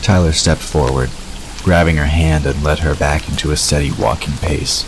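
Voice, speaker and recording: A man's voice: extremely low pitch, steady tone, moderate pace. A man reading scripted story narration for an audio drama. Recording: noisy, rain-like hiss behind.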